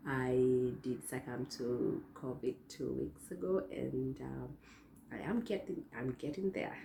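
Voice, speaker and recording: A hoarse young woman talks to her viewers in a loose, rambling vlog style, full of fillers and restarts. Her voice is very deep and mellow. The room has a roomy echo with a faint hum behind it. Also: measured pace. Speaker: vlogger host. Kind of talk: casual talk to camera. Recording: echoey room.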